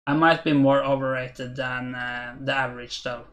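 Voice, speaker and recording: A man's voice: mid-range voice, flat delivery, normal speed, fairly bright tone. A young man in his twenties from Norway, with a Norwegian accent, chatting hesitantly and thinking aloud as he streams chess and answers viewers. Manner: hesitant, thinking aloud while reading chat. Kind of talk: casual live-stream commentary.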